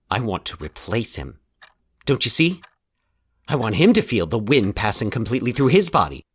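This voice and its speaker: A male narrator plays a troubled man confiding in his psychiatrist in an audio drama. He speaks in an anxious, halting way with dramatic pauses, his lowish pitch rising and falling widely. The sound is very dry. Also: husky, no room sound. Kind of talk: dramatic audio fiction monologue.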